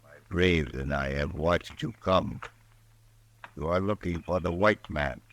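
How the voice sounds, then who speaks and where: A proud chief, deep and slow, short formal lines. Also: male voice, a bit bright. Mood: stern. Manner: slow, formal, clipped speech. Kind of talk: 1950s radio drama acting.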